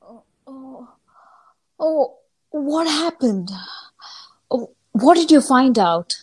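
A woman performs an earnest, uncertain heroine of an audio play. Her voice is high and swings widely in pitch. She speaks slowly, with a hesitant manner. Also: expressive, heavily acted. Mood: frightened, distressed. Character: Swan, uncertain woman with a strange special vision. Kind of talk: audio drama acting.

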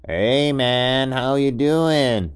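A man's voice: mid-range voice, very slow, clean tone, dry recording, pitched above the performer's own voice. A man voicing a friendly little gecko in a bedtime story, with an easygoing surfer-dude way of talking. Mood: breezy. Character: laid-back, friendly little green gecko on vacation.

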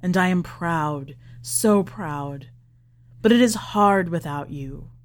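A woman voicing a tender, exacting elderly father in a story, speaking earnestly and deliberately. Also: lowish voice, pitched below the performer's own voice. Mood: tender, proud.

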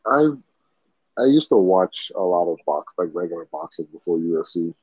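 A man with a very low, husky voice talks in a loose, rambling way and swears casually. The sound is dull and lo-fi. The room is completely dry. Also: animated, normal speed, a little mellow, dry recording. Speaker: podcast co-host. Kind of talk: casual chat.

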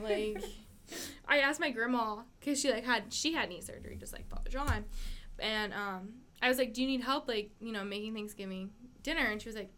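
A woman's voice: fairly high pitch, pushed and bright. Giggly twenty-year-old woman. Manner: giggly, self-deprecating, lots of "like" fillers. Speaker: co-host. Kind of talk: casual chat.

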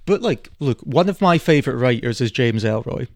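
Male podcast host, mid pitch, conversational interviewer, dry sound. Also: expressive, normal speed, creaky, a little mellow, dry recording. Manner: chatty, leading questions.

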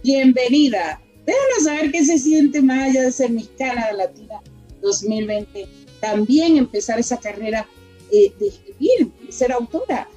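A woman's voice: high voice, animated, moderate pace, warm tone, husky, soft background music, low-quality recording. A woman hosting a radio show, effusive and welcoming, piling on superlatives as she introduces a guest. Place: Toronto.

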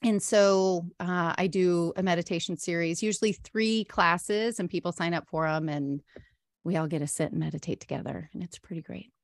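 Earnest low-voiced woman, dry sound. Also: no echo. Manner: earnest, frequent ums, quick bursts. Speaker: podcast guest, meditation instructor. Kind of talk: casual interview chat.

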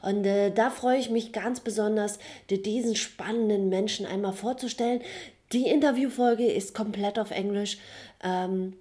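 A woman's voice: medium pitch, steady tone, moderate pace, mellow. A woman hosting a podcast, friendly and informal, with many fillers and short agreeing sounds. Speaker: podcast host, hypnosis coach and tea master. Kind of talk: podcast host intro and interview.

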